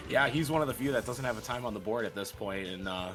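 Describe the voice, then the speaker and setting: A man's voice: mid-range voice, lively intonation, fairly quick, a bit bright, faint music behind. A man hosting a livestreamed speedrun event, projecting like a broadcaster while fighting off a sickness. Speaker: host and commentator of a speedrun event. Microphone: own microphone at a desk.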